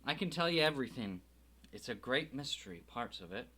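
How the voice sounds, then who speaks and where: A man voicing a huge, gruff but kindly giant with rough, folksy speech in an audiobook reading. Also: medium pitch, expressive, fairly quick, bright, forceful tone. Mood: low worried.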